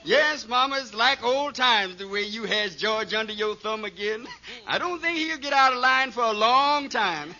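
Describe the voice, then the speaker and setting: An actor playing a smug, sly freeloading brother-in-law in a 1940s radio comedy, mock-sweet and coy. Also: male voice, very high-pitched, lively intonation, normal speed, bright, forceful tone, heavily acted. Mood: pleased. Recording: very noisy, dull old radio recording.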